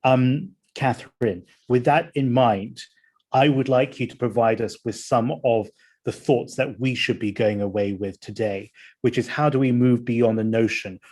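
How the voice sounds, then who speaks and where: A man moderating a panel discussion, speaking in a measured way and reading out audience questions. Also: medium pitch, steady tone, a little mellow.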